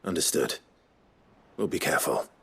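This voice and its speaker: An actor playing a resolute, brooding, courteous hero in a fantasy video game, speaking in a terse, understated, polite way. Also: male voice, normal speed, fairly bright tone, natural voice. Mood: calm, curious.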